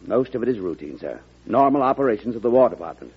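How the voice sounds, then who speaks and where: An actor playing a proud, defiant war secretary in a classic radio drama, with a raspy voice and a stiff, self-important manner. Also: male voice, fairly low pitch, very animated, fast, full character voice. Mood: guarded. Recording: muffled old radio recording.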